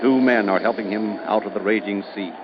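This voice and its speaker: A man giving scripted, suspenseful narration in a deliberate, theatrical old-time radio style. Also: lowish voice, fairly even intonation, very noisy. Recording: dull old radio recording. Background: music.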